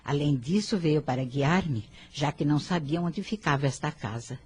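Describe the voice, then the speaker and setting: A woman playing a kindly, composed elderly grandmother in a radio drama, very husky, with a calm, gentle and dignified manner. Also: low voice, normal speed. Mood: calm, polite. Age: older.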